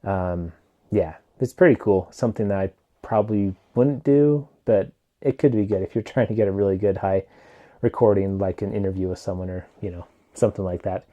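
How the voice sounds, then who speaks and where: A man casually reviewing a wireless mic in a relaxed, rambling way, with lots of "um" and "like", speaking into a clip-on mic plugged into his phone. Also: brisk, phone-quality sound. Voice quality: low voice. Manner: rambling, filler-heavy, relaxed. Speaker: tech reviewer.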